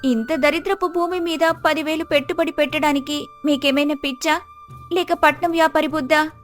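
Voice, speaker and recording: A clever village wife from a moral tale, played by a woman, speaks in a high voice. She is sharp-tongued and pointed. Soft music sits behind her. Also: fairly even intonation, moderate pace, natural voice. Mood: mocking. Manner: sarcastic, pointed.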